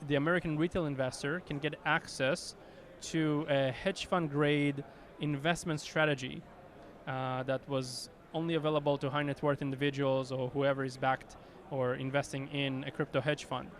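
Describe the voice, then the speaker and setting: A man with an Israeli accent speaks in a slightly raised voice, recorded on a handheld mic outdoors in a city. He pauses often, filling gaps and restarting as he explains things. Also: a little high, steady tone. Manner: hesitant, many fillers and restarts. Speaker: company executive guest. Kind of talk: conference interview.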